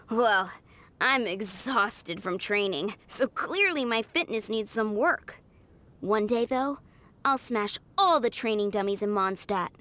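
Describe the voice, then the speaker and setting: An eager young knight trainee. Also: female voice, medium pitch, lively intonation, slow pace, a bit bright. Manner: earnest, enthusiastic, a little flustered. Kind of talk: video game voice acting. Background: game music.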